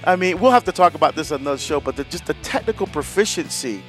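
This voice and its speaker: Relaxed talk-radio host, higher voice. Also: male voice, fast talker, faint music behind. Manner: relaxed explaining with fillers and laughs.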